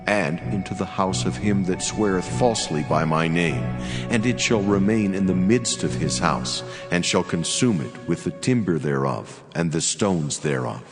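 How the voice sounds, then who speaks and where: A man playing a solemn, commanding angel of the Lord in a dramatized scripture reading, speaking gravely and slowly. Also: low voice, lively intonation. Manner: slow, grave, declamatory. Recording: long hall reverb over prominent music.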